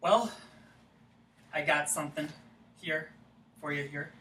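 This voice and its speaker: A man's voice: fairly high pitch, pushed and bright, a little hiss or hum. An actor playing an awkward, bashful man who fumbles for words in a staged play reading. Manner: fumbling, halting. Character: awkward, bashful man named Dave bringing a gift. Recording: echoey room, distant mic.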